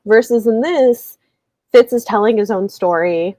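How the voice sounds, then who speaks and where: A woman's voice: a little high, measured pace, warm tone, dry recording. A woman hosting a casual book discussion, thinking aloud in a rambling way with lots of fillers. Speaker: live show host. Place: indoors, livestream video call.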